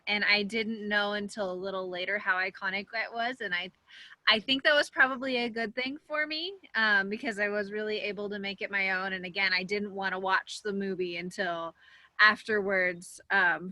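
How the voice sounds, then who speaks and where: A woman's voice: mid-range voice, pushed and bright, no room sound. A woman giving a casual, chatty and somewhat hesitant answer as an actress on a panel.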